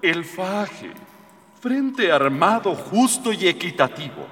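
An idealistic young alien prince in a radio play. His voice is on the high side and moves in lively contours, earnest and formal. A long hall reverb trails every phrase. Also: takes it slowly, heavily acted. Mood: explaining, firm. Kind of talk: comic radio drama acting.

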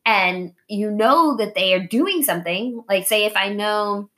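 Blunt, sweary, animated woman podcast host. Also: mid-range voice, unhurried. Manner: animated, acting out imagined dialogue. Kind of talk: casual, candid conversation.

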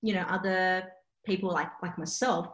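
A woman's voice: fairly low pitch, normal speed. A young woman who grew up with Cantonese, chatting casually and telling stories with lots of fillers. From Australia. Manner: chatty, filler-heavy, animated storytelling. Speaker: interpreting student. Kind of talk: casual chat. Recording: roomy echo.

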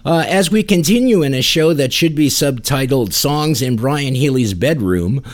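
A man's voice: mid-range voice, animated, moderate pace. A man hosting a music podcast in a casual, wry, joking and self-deprecating way. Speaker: podcast host. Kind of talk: casual music radio chat.

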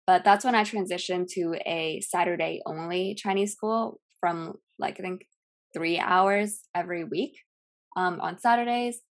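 Rambling young woman, lowish voice. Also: a little slow. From California. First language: Cantonese. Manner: relaxed, rambling with many fillers. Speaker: podcast guest. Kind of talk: casual chat.

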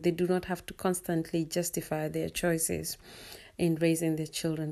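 A woman's voice: sits low, a little mellow. A woman from Kenya in her thirties, a mother speaking steadily and earnestly about raising her children. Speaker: guest. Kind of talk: personal testimony.

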